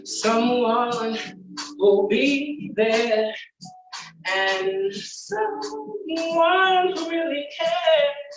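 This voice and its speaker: A woman's voice: sits high, very slow. A woman singing a longing love ballad over music. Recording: echoey, distant mic, music behind.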